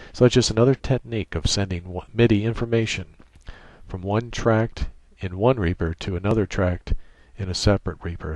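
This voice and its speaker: A man giving an unscripted software tutorial in a methodical, technical way with halting phrasing, close on a USB microphone. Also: low voice, dry recording. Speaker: tutorial presenter.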